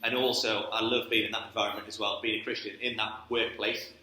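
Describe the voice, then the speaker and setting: A man's voice: fairly low pitch, flat delivery, fast, sharp tone, slight background noise. A man in his thirties with a Geordie accent, from Newcastle, giving a chatty church talk about sports ministry and often checking in with "okay?". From Newcastle, England. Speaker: guest speaker, sports ministry director. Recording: very echoey church hall.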